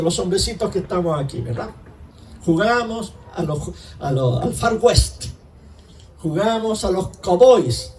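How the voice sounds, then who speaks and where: A man's voice: sits high, darkish tone, recorded from a distance, a little hiss or hum, poor audio. A man preaching a conversational sermon in church, full of rhetorical questions. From Chile. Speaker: pastor.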